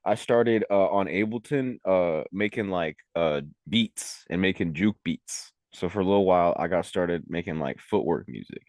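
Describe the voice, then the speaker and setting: Super deep young dude rambling slangy, lots of like, dry sound. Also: male voice, moderate pace, few pauses, darkish tone, no room sound. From Venezuela. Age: young adult. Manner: laid-back, rambling, heavy on "like" and slang. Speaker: rapper and recording engineer. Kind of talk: casual interview chat.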